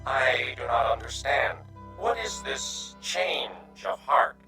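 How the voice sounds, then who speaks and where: A gentle insect alien, speaks slowly and with great formality. His breathy, bright voice is wrapped in echo. He sounds noble and earnest, as in a radio play. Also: mid-range voice, very animated, exaggerated voice. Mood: puzzled. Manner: formal, measured, stilted speech without contractions. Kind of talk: radio drama acting. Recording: echoing voice with music behind.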